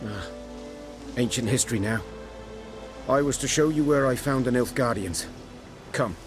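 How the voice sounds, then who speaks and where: An actor playing a weary outcast hunter, a man who speaks quietly and guardedly. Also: mid-range voice, normal speed, lightly acted. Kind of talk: video game voice acting. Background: game music.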